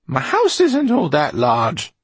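A man voicing an indignant woman, pitched up high. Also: huge pitch swings, normal speed, gravelly, breathy edge, dry recording, full character voice. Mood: defiant. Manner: indignant, haughty. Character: indignant, sharp-tongued, bookish middle-aged woman. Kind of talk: audiobook character acting.

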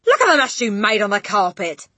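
A woman voicing a blustering, indignant middle-aged father in a storybook, yelling and wailing in panic. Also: mid-range voice, fairly even intonation, normal speed, no echo. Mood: angry bluster.

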